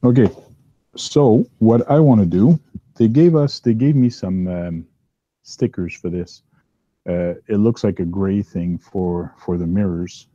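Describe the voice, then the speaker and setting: Low, dark-voiced man rambling at his bench. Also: a little slow, no room sound, highs cut off. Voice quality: big voice. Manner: rambling, with many ums and uhs. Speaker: host. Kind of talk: casual chat while working at a hobby bench. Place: downstairs workbench at home.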